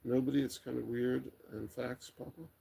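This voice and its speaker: Very raspy, gravelly man, casual livestream chat. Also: medium pitch. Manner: rambling, unhurried, relaxed. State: voice tired and getting raspy late at night. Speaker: livestream host. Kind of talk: casual chat, reading viewer comments aloud.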